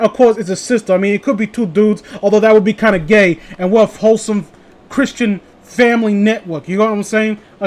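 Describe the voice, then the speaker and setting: A man playing a pompous, crude TV production director who rambles theatrically and gets sanctimoniously indignant. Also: very high voice.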